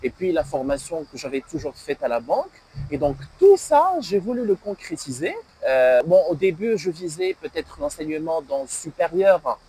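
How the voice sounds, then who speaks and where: A man's voice: on the high side, big pitch swings, normal speed, warm tone, breathy edge, poor audio. A man from Morocco, talking as a guest in an interview, hesitant and full of filler sounds. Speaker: guest, French teacher and banker.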